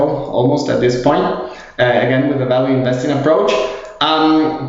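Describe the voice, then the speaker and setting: A man from Guatemala talking as a guest on a podcast, hesitant and casual, with many fillers. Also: medium pitch. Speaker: guest, fund manager. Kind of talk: podcast interview. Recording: very echoey, dull remote audio.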